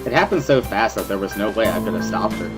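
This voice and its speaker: A man's voice: fairly low pitch, animated, quick pace, natural voice. A man playing a reflective, easygoing schoolboy who narrates a dramatic reading in the first person. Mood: wry, amused. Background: music.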